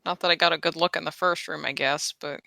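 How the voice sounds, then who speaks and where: A woman's voice: low-pitched, fairly even intonation, fast, vocal fry, pushed and bright, no echo. A woman playing a tabletop game, speaking casually as she describes and questions her moves.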